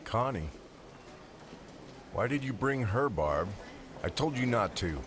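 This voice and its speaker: A man's voice: medium pitch, expressive, takes it slowly, noisy background. An actor playing an estranged father who meets his wife and daughters on a visit, curt and bitter at first and then tender. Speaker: father meeting family on a visit.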